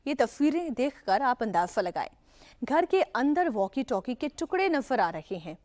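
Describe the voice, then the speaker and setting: High-pitched, urgent woman news anchor. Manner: emphatic, urgent delivery with rhetorical questions. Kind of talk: dramatic news narration.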